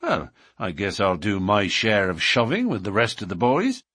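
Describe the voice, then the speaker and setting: A man voicing a bold, quick-tongued outlaw newcomer in an audiobook, self-assured and defiant in a matter-of-fact way. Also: fairly low pitch, wildly swooping pitch, fairly bright tone, slightly rough, no echo, clean studio sound, heavily acted. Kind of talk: audiobook character dialogue.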